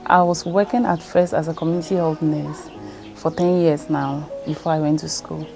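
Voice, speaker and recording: Interviewee: a woman in her thirties with a low, gravelly voice, calm, music behind. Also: moderate pace. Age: 30s. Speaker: interviewee, midwife. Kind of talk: documentary testimony.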